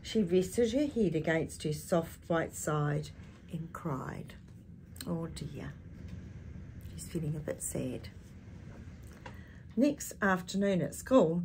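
An older woman with a husky voice reading a picture book expressively to young listeners, adding chatty asides and animated tag questions. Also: fairly low pitch, takes it slowly, slight background noise. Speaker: children's storytime reader. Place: small indoor space with curtain backdrop.

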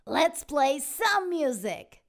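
A woman's voice: high-pitched, big pitch swings, very slow, voice pushed up, full character voice. A woman voicing a cool, upbeat male cat pirate captain in a children's storybook. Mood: cheerful, hearty. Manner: friendly, upbeat calling out.

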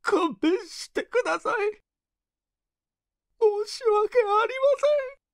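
A man's voice: extremely high pitch, lively intonation, slow pace, fairly bright tone, no echo, studio quality, pitched above the performer's own voice, full character voice. A man voicing a remorseful gunman who wails and begs forgiveness, crying, in a story reading. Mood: sobbing, pleading. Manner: wailing, pleading.

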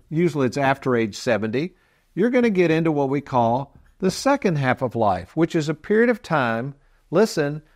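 A man's voice: a little high, a little mellow. A man in his sixties presenting financial advice as a show host, folksy and emphatic as he explains to viewers. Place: TV studio set, indoors.

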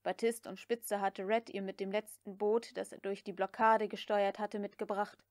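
A woman's voice: medium pitch, little pitch movement, moderate pace, darkish tone, no room sound, studio quality. A woman reading literary prose as an audiobook narrator.

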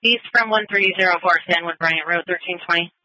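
Guy dispatcher on police radio, high voice, super sharp sound, clipped read-backs, scanner audio. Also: fairly quick, poor audio. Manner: clipped, procedural. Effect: two-way radio. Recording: bright police scanner radio with faint noise.

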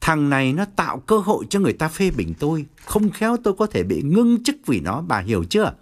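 A man's voice: a little high, big pitch swings, a little fast. Man acting a furious, domineering middle-aged father. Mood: stern, agitated. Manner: berating, authoritative. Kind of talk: audio story character dialogue.

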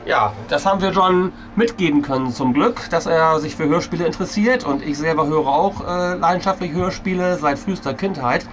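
A man's voice: a little high, fairly quick, hardly any pauses, fairly bright tone, a little hiss or hum. A man in his forties with a German accent, vlogging casually to a camera at arm's length in a small restaurant booth. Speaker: family vlogger. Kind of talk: casual vlog chat to camera. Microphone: camera held at arm's length.

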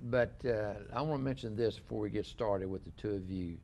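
Older man, gravelly host voice. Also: on the low side, darkish tone, a little hiss or hum. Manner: rambling, halting, many restarts. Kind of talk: casual studio interview. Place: small living-room studio set.

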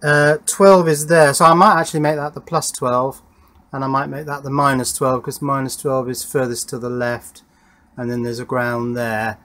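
Middle-aged man, medium pitch, casual workbench narration, informal, thinking aloud. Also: level tone, normal speed, a bit bright. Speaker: electronics hobbyist presenting his workshop project. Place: small wooden garden shed workshop.

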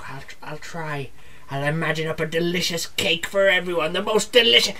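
An actor playing a dejected, self-pitying superhero who doubts himself, whining and sighing in a comedy sketch. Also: male voice, on the high side, fairly even intonation, moderate pace, bright, forceful tone, slight background noise. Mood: hesitant, straining.

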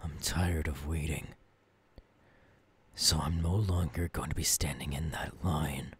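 A man playing a tormented, menacing young ghoul king in an ASMR audio roleplay, in a breathy, often whispering voice with brooding phrasing. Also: a bass voice, steady tone, unhurried, a little hiss or hum. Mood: tense, weary. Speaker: voice actor.

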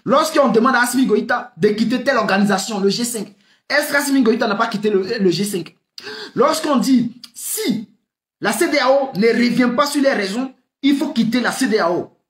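Animated West African French man commentating. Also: very high voice, normal speed. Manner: animated, rhetorical questions, frequent laughs, gestures with hands. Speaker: political commentator. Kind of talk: impassioned political commentary to camera. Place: small room indoors. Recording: echoey room.

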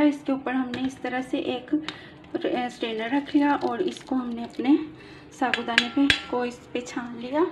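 High-voiced woman teaching a home recipe. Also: noisy background. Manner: patient, explains each step while working. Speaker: cooking channel host. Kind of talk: step-by-step cooking demonstration. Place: home kitchen.

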